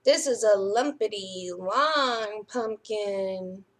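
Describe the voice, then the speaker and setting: A woman hosting a children's story time, reading and explaining crafts in an expressive, sing-song manner for young listeners. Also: medium pitch, extremely slow. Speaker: library story time host. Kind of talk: children's picture book reading and craft demonstration.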